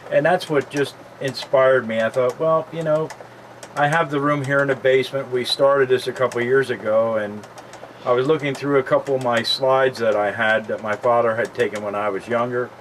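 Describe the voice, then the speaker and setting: A man from Lebanon County, Pennsylvania, a model train collector, reminiscing casually in his basement in a relaxed, rambling way with lots of fillers. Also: medium pitch, moderate pace, rough recording. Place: home basement with train layout. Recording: faint background noise.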